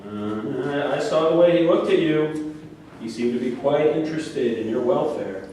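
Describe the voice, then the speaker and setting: Man acting out a touchy delusional middle-aged guy on trial, flirty and halting, stage play, really echoey room. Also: a little high, expressive, darkish tone, natural voice. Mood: defensive. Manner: halting, needling, indignant when challenged. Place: live staged reading in an echoing room. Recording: echoey room, distant mic, background hiss.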